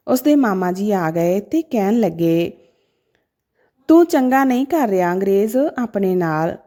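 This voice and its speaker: A woman's voice: a little high, mellow. A woman narrating a scripted story in a dramatic, emotive manner.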